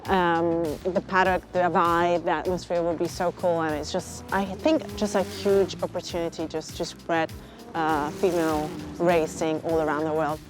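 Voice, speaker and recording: Italian woman driver, chatty, lowish voice. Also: level tone, over music. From Italy. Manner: chatty, a little halting, with repeated fillers like "obviously". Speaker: racing driver. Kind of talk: casual interview answers.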